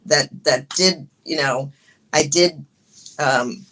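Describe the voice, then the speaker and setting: Woman guest, bright and forceful tone, passionate, stumbles and restarts. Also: low-pitched. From Ecuador. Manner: passionate, halting with many restarts. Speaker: guest musician. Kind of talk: casual interview chat.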